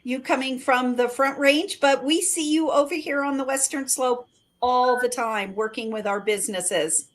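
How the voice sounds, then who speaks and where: Brief: middle-aged woman, community livestream host, enthusiastic promo delivery, higher-pitched voice. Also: moderate pace. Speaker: chamber of commerce director hosting a live show.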